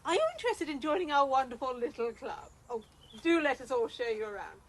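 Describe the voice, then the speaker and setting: A woman's voice: high-pitched, lively intonation, quick pace. A woman playing a haughty, proper, bossy middle-aged British chairwoman in an amateur radio comedy. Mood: gushing, polite. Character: prim, bossy older club chairwoman, indignant and dignified. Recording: faint background noise, home recording.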